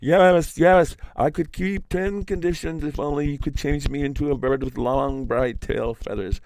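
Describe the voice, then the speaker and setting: A man's voice: on the high side, steady tone, a little slow, a little mellow, no echo, voice pushed up. A man voicing a vain, restless trickster bird from a folk tale, pleading and exclaiming impatiently.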